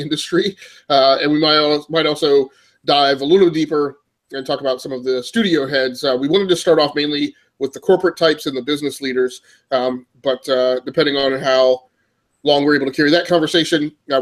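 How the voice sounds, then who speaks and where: A congested man hosts a gaming podcast and talks loosely, piling up uhs and restarting his sentences. His pitch sits in the middle for a man. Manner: rambling with many uh fillers. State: congested from a cold. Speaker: podcast host. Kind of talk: casual podcast chat.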